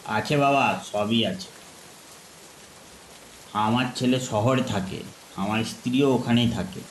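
A man voicing a kindly, insistent older village man in a ghost story, gentle and hospitable. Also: mid-range voice, slow pace, close to an everyday voice. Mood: resigned, gentle. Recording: echoey, faint rain noise.

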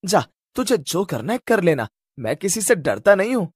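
A man's voice: high-pitched, big pitch swings, normal speed, husky, dry recording, exaggerated voice. A man voicing a cocky, hot-tempered young village boy who talks in a brash, boastful way in a cartoon story. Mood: bossy, boastful.

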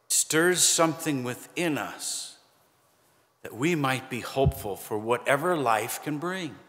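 A middle-aged man preaching a sermon from a pulpit, conversational and lightly humorous, pausing for emphasis. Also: medium pitch, animated, a bit bright. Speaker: pastor. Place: church sanctuary, standing at a pulpit. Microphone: pulpit microphone, far camera. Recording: long church reverb.

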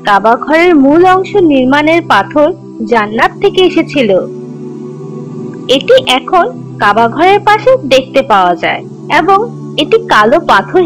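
A woman playing a kind teacher who tells religious stories to children in a measured, instructive, scripted way. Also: high voice, low-quality recording. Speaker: storyteller narrator teaching children.

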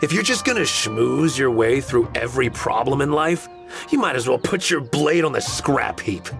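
An actor playing a haughty, old-fashioned samurai in a video game, lecturing in a pompous, disapproving manner. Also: male voice, mid-range voice, lively intonation, normal speed, vocal fry, a bit bright, faint music behind. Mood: scornful. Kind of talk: scripted video game dialogue.

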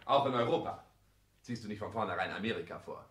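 A calculating careerist son who speaks in polished, commanding phrases. The delivery is formal and theatrical, in vintage radio play manner. The sound is clearly roomy. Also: male voice, lowish voice, expressive, fast, a bit bright. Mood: persuasive, eloquent. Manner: formal, declamatory, commanding stage diction. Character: calculating, domineering careerist son, coldly eloquent. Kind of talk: 1960s German radio play acting.

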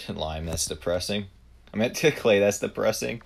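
A man's voice: on the low side, vocal fry, noisy background. A man giving live gameplay commentary, blunt and profane, ranting at the other players. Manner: profane, blunt, quick to rant. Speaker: gamer streamer.

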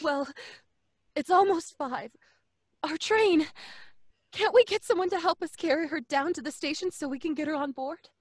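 A woman's voice: high voice, normal speed, long pauses, no echo, clean studio sound, natural voice. A woman playing a brash, impatient, scornful young working woman, snappy and exasperated, in old-time radio drama style. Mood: insistent.

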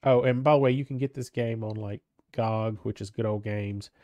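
A man with a stuffy, congested voice talks through a strategy game in a loose, meandering way, tripping over his words and circling back. His tone is dark and mellow, and the recording is dry and close, with no room around him. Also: on the low side, steady tone, moderate pace, dry recording. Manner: rambling, hesitant with many restarts. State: stuffed up from a cold. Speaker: gaming channel host. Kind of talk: casual let's-play commentary.

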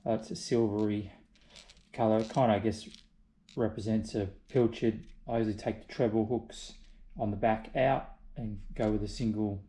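A man, host of a fishing channel, narrating instructional tips in a steady, explanatory way. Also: on the low side, moderate pace. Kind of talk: instructional voice-over about kayak fishing spots. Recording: roomy, echoey recording.

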